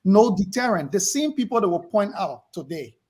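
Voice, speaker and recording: A man's voice: high voice, expressive, normal speed, darkish tone, slightly rough. A man who cuts in insistently with questions during a panel discussion.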